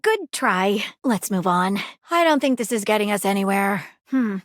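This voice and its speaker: A woman's voice: mid-range voice, expressive, moderate pace, no room sound, studio quality, natural voice. A woman voicing a prim, eager detective in a video game, speaking articulately and theatrically with precise old-fashioned sleuth phrasing. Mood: composed, inquisitive. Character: prim, eager, dramatic woman detective, a datable household object. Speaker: voice actor in a dating simulator game. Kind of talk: video game character dialogue.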